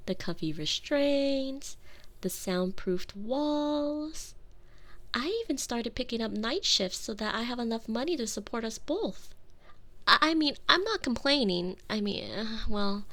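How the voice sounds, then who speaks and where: A woman's voice: on the high side, animated, measured pace, fairly bright tone. A woman playing a sweetly doting but obsessive, volatile girlfriend in an intimate, close-miked ASMR roleplay. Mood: flustered, doting. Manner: intimate, cooing, often dropping into breathy whispers. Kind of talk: scripted ASMR roleplay acting. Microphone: close binaural microphone.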